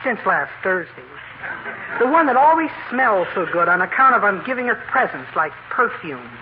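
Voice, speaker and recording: An actor playing an earnest, naive teenage delivery boy with a hesitant, deadpan manner, in 1940s radio comedy style. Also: high voice, normal speed. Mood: earnest, sheepish. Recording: noisy, muffled old radio recording.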